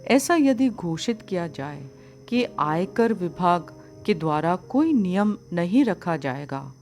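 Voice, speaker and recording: Woman, unhurried pace, lowish voice, formal didactic audiobook reading of a spiritual text, faint music behind. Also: very animated, darkish tone. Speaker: audiobook reader of a spiritual book. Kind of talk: scripted narration of a religious discourse.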